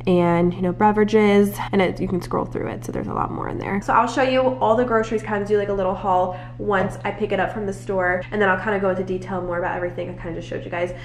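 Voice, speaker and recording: Congested young American mom, chatty. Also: female voice, medium pitch, steady tone, fast, hardly any pauses. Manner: chatty, rambling, self-correcting. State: stuffy and congested from a cold. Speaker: family vlogger, mother of four. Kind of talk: casual chat to camera. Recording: echoey room with faint noise.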